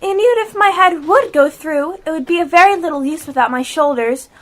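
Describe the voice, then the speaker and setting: A woman's voice: very high-pitched, fairly quick. A young female amateur playing a curious, impulsive little girl in a radio play. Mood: wondering.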